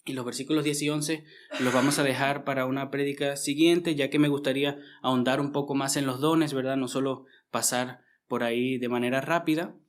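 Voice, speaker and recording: A man's voice: medium pitch, steady tone, a little fast. A man from Venezuela with a Venezuelan accent, preaching a conversational sermon to his congregation. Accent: Venezuelan Spanish. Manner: conversational, frequent tag questions and restarts.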